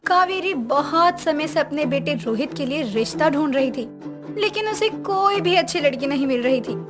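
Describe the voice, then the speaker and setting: A woman's voice: sits high, lively intonation, normal speed. A woman reading scripted story narration as a narrator. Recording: music under voice.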